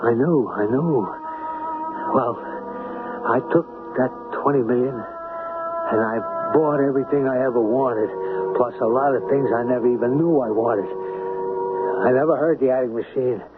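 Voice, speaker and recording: An actor playing a nervous, weak-willed small-time hustler who stammers, in a 1970s radio drama. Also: male voice, mid-range voice, sing-song, normal speed, pauses often, narrow, tinny audio, lightly acted. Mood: uneasy recounting. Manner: agitated, stammering. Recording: thin old radio recording with music.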